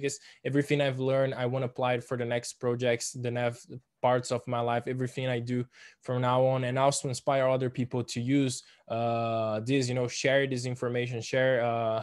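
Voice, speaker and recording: Young male student, Portuguese native, hesitant presenter on a video call, mid pitch. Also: a little mellow. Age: young adult. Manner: hesitant, halting, with many fillers and restarts. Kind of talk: online presentation.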